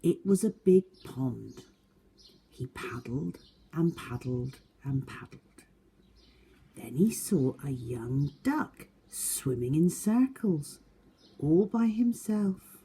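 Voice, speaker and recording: A woman's voice: low voice, very animated, takes it slowly, dark tone, a little hiss or hum. A woman reading aloud as a teacher at children's storytime, speaking expressively to young listeners. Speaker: schoolteacher reading stories to a class.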